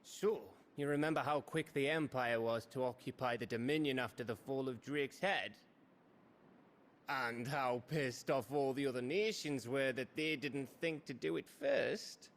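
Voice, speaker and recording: A man's voice: mid-range voice, a little slow, lightly acted. An actor playing a cheeky, foul-mouthed male spy, chatty and joking with an old friend.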